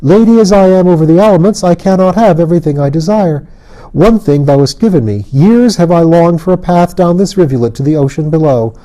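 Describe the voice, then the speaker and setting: A man doing a shrill, haughty fairy queen. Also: fairly quick, warm tone, close to an everyday voice, low-quality recording. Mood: regal. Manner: imperious, shrill when crossed.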